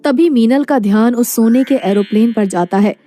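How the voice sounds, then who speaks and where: A woman's voice: a little high, normal speed, warm tone, soft background music. A woman narrating a scripted moral story.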